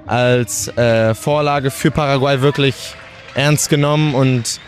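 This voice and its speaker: A man's voice: medium pitch, slow, faint music behind. A nineteen-year-old man, raised in Portugal, speaking in an interview about skating with frequent restarts. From Germany, raised in Portugal. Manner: relaxed, hesitant with restarts. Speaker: skateboarder.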